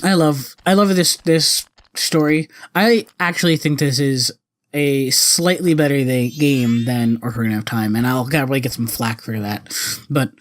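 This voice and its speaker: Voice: medium pitch, moderate pace, no echo. A video game player giving casual let's play commentary, rambling and often correcting themselves mid-thought. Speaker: gaming video host. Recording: game music behind. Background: video game cutscene music.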